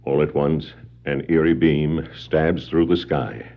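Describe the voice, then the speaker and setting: Very deep, portentous adventure narrator. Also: male voice, steady tone, slow, exaggerated voice. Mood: dramatic. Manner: dramatic, portentous. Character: grave, dramatic male storyteller of superhero adventure. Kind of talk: scripted 1960s adventure record narration. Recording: muffled 1960s vinyl record.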